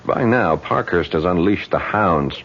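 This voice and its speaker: An actor playing a brash, persuasive campaign manager in a 1970s radio drama, talking fast and teasing. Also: male voice, deep voice, expressive, a bit bright, dull audio, full character voice. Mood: pushy.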